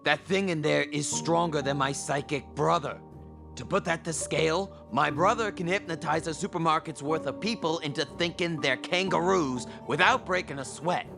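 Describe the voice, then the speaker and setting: An actor playing a casual, excitable and protective brother of a psychic in an audio drama. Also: male voice, a little high, moderate pace, gravelly, music in the background. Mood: warning.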